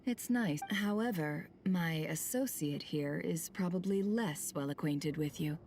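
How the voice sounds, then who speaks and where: Low-pitched, sly, self-possessed boss. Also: female voice, a little mellow, a little hiss or hum. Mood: cool, knowing. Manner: cool, dry, deliberate. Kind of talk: video game voice acting.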